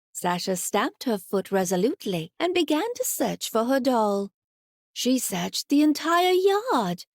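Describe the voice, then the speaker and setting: A woman narrating a scripted children's fairy tale in an expressive storytelling style. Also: mid-range voice, no room sound, studio quality.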